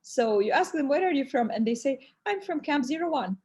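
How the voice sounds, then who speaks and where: A woman with a fairly high voice gives long, earnest answers as a guest in a webinar. She is a native Arabic speaker, pausing to find her phrasing and dropping in Arabic fillers. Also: animated, a little mellow. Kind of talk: answering webinar questions at length.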